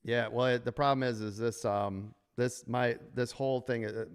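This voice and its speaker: Smooth-voiced man hosting a trading stream. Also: fairly even intonation, normal speed. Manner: upbeat, sales-pitch delivery. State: losing his voice. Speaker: trading coach and host. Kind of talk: live stream chat with members. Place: sunroom full of windows.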